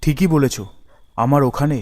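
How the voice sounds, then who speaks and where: Young male lead, acted by a man, horror cartoon: earnest, fairly high voice, long reverb. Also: fairly even intonation, normal speed, darkish tone, natural voice. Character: troubled, trusting young man, obedient son. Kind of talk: animated horror story dialogue. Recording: long hall reverb, music underneath.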